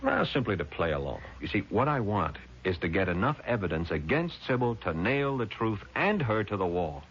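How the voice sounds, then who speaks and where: An actor playing a shrewd, protective lawyer in 1970s radio drama, speaking in a deliberate, hard-boiled, probing way. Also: male voice, lowish voice, very animated, a bit bright, slightly rough, full character voice. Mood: measured. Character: shrewd, cool-headed male lawyer, protective and persistent. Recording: dull, noisy vintage radio recording.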